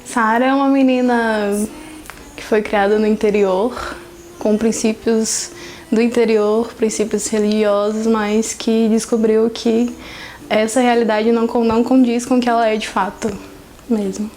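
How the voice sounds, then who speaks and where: A woman with a fairly high voice speaks in a documentary interview. She sounds thoughtful and a little hesitant as she describes herself. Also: little pitch movement, a little slow, a little mellow, slight background noise, rough recording.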